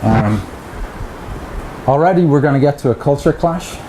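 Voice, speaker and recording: A man's voice: fairly high pitch, lively intonation, normal speed, mellow. A middle-aged man with an Irish accent giving a chatty academic seminar talk, with joking asides to the audience. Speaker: lecturer. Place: seminar room with projector. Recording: echoey room with background noise.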